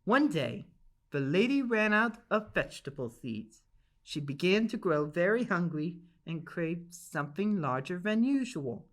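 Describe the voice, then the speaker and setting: A woman's voice: lowish voice, very animated, a little slow. A woman narrating a children's storybook aloud in an enthusiastic, emphatic way. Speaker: storybook reader.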